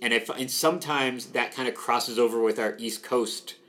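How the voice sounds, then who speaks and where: A man with a Canadian accent chatting casually as a podcast co-host, animated and playfully nerdy. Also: mid-range voice, moderate pace. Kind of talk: casual chat.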